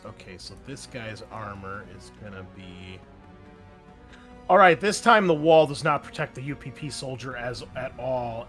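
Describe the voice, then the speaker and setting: A man's voice: mid-range voice, fairly bright tone. A young man narrating combat as game master, loose and chatty, with many ums and uhs. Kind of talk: narrating a tabletop game over voice chat.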